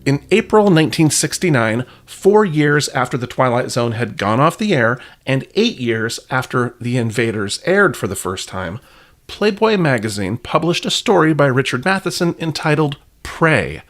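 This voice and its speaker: A man's voice: mid-range voice, big pitch swings, normal speed, fairly bright tone. A man hosting a podcast, reading scripted narration in a wry way with playful asides. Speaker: podcast host.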